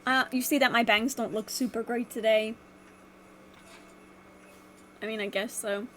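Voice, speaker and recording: A woman's voice: a little high, fairly even intonation, unhurried, fairly bright tone, slight background noise. A young woman with a stuffy nose from a cold, rambling in a casual vlog from her bedroom with many fillers and restarts. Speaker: vlogger.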